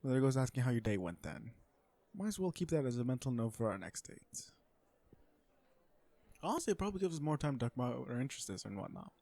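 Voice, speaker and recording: Casting: male actor as a sardonic, flirty corporate supervillain for romantic comedy audio roleplay, mid-pitched, conversational and sure of himself. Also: big pitch swings, fast talker, creaky, long pauses, warm tone. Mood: flirty, relaxed. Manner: conversational venting, sardonic and self-assured. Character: cocky, flirtatious businessman supervillain on a blind date.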